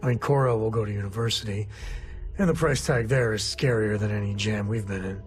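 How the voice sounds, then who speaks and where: A man's voice: fairly low pitch, a little fast, faint music behind, natural voice. A man playing an easygoing, folksy space explorer and single father in a video game. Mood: easygoing, reflective. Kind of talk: video game character dialogue.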